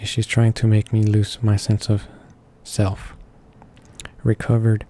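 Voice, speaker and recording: Male gaming host, read-along narration. Very flat, dark lowish voice, stumbles over words. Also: takes it slowly, slight background noise. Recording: faint music behind the voice. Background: game music.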